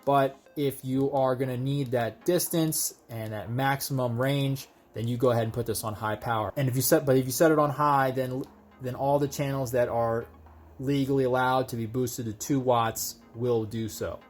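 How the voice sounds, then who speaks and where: A man's voice: mid-range voice, steady tone, normal speed, soft background music. A man giving a casual, conversational hands-on product demonstration, explaining things step by step as a video host.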